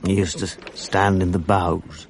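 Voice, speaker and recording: An actor playing a wistful man who narrates memories of his boyhood in a measured, reflective radio drama style. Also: sits low, flat delivery. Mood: nostalgic. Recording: noisy archive radio drama recording.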